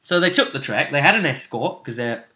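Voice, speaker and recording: A man's voice: mid-range voice, expressive, fairly quick, pushed and bright. A man chatting casually as a game master, recapping a tabletop role-playing session and often repeating phrases as he goes.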